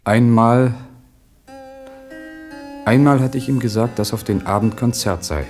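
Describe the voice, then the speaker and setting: An actor playing an earnest acquaintance giving sober, measured testimony in a radio drama, in a husky voice. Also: male voice, mid-range voice, a little mellow, faint music behind, lightly acted. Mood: regretful, earnest. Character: earnest male acquaintance recalling a mad poet.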